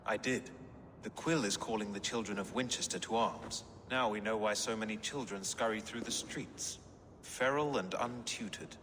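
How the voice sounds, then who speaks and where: A man's voice: on the low side, moderate pace, fairly bright tone, lightly acted. A man playing a cautious, educated, dryly humorous Saxon ally in a video game. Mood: matter-of-fact. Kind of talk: video game dramatic acting. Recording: reverberant with faint background noise.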